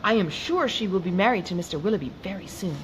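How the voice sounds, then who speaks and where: Excited young girl, breathless and eager, read by a woman, hissy background. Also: medium pitch, quick pace, lightly acted. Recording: noisy background hiss.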